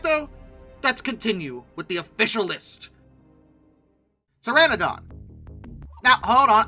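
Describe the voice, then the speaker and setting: Animated, bright-voiced woman doing emphatic commentary. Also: medium pitch. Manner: emphatic, theatrically exasperated asides. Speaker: host of a paleontology video channel. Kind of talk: casual, opinionated list commentary.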